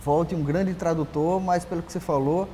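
A man's voice: on the high side, fairly even intonation, normal speed, mellow. A Brazilian man in his forties hosting a TV interview, asking friendly questions and closing the show, miked in a large empty auditorium. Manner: brief affirming murmurs, friendly questions. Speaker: TV interview host. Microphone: lapel mic. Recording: long hall reverb, faint hiss.

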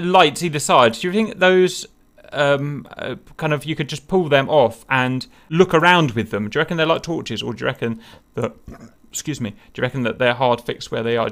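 A man's voice: a little high, fairly bright tone. A man leads a loose group discussion over voice chat. He thinks aloud, trailing off into fillers and asking the others what things are. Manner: thinks aloud, many fillers and wondering questions. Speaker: host leading a group discussion. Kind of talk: casual group chat over a cockpit picture.

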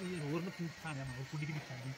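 Brief: young male vlogger, slightly high voice, loose outdoor chat, loud music behind. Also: low-quality recording. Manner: loose, chatty, giving quick instructions. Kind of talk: casual chat while pitching a tent. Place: outdoor park among pine trees.

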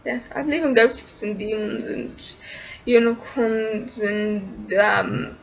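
A woman's voice: mid-range voice, slow, gravelly. A young English woman from Liverpool, with little of the local accent, answering viewer questions on a webcam in a small room, giggly and scatterbrained. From England, born in Liverpool. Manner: giggly, scatterbrained, talks to her cat in baby talk. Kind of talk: casual webcam Q&A chat. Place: small indoor room, seated against a wall. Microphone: webcam at arm's length. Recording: dull lo-fi webcam sound.

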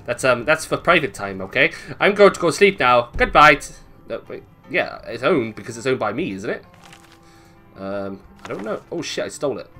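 A man's voice: mid-range voice, sing-song, moderate pace, bright, forceful tone, faint music behind. A man giving casual, chatty gameplay commentary, thinking aloud playfully and swearing freely. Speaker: gaming video host.

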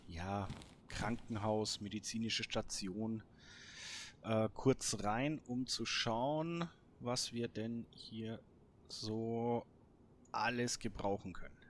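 A man giving casual let's play commentary as host, thinking aloud in short, halting phrases. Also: fairly low pitch, a little hiss or hum. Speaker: host of a gameplay series.

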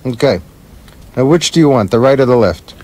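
An actor in a radio play as a bitter, boozy screenwriter, brash, profane and sardonic, always needling. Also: male voice, mid-range voice, expressive, a little fast, dark tone, close to an everyday voice. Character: bitter, boozy, wisecracking male screenwriter with broken leg.

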